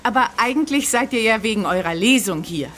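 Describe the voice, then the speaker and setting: An actress playing a polite, busy, earnest middle-aged shop owner in an audio drama. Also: female voice, fairly high pitch, animated, a little fast, fairly bright tone. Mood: businesslike. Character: busy, worried female bookshop owner, polite.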